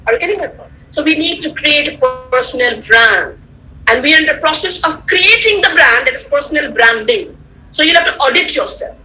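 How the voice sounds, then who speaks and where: A woman whose first language is Tamil, giving an animated online lecture to students, repeating points and checking that they follow. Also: high-pitched, moderate pace, rough recording. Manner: animated, repetitive, rhetorical questions to listeners. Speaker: guest lecturer. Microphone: computer mic on a video call.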